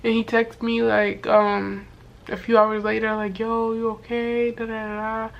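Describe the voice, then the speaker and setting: A young woman with a voice worn hoarse from sickness, telling a story to camera in a loose, slangy way full of fillers and swearing. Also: a little high, flat delivery, unhurried, highs cut off. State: hoarse from being sick. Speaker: vlogger. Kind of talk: casual storytime chat to camera.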